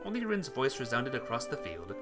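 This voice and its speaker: Expressive man reading a story aloud over music. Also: medium pitch, brisk. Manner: stumbles over words and corrects himself. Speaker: let's player reading a visual novel aloud. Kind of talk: narrated read-aloud of game text, acting out dialogue. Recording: music playing behind the voice.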